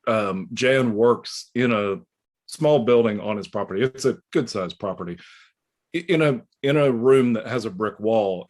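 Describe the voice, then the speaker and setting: A man hosting a casual video call, chatty as he introduces and questions guests. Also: on the low side. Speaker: host.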